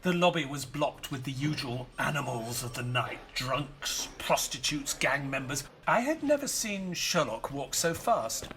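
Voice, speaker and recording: An actor playing a loyal, doubtful, long-suffering male companion and narrator in an audio play, measured and literate. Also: medium pitch, animated, bright, forceful tone, slight background noise. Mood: measured, storytelling. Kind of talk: audio play acting and first-person narration.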